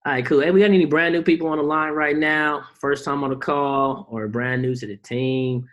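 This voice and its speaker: A man's voice: a little high, steady tone, moderate pace, fairly bright tone. Casual man hosting a team video call. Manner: casual, rhetorical questions, slangy.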